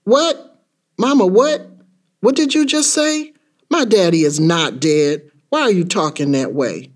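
A man playing an excitable, bewildered young boy in a rhyming dramatic story, speaking in a childlike, insistent way. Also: a little high, normal speed. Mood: shocked, disbelieving. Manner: childlike, insistent, repeated questions. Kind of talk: dramatic spoken-word storytelling in rhyme.

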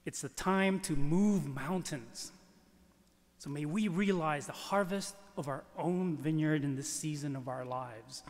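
Slow-paced priest in a reverberant church. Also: male voice, fairly high pitch. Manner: measured, deliberate, with pauses. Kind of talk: scripted homily read from the pulpit. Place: large church, indoors. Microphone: lectern microphone.

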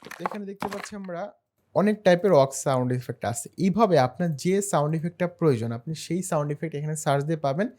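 Fast-talking man narrating a tutorial. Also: a little high, a little mellow. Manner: step-by-step, explanatory. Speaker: tutorial host. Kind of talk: screen-share software tutorial narration.

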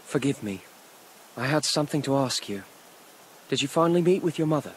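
A calm young nobleman played by a male actor leads with a formal, measured authority. His pitch sits mid-range and he sounds earnest, like a video game cutscene hero, over faint background noise. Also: natural voice, low-quality recording. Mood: solemn, composed.

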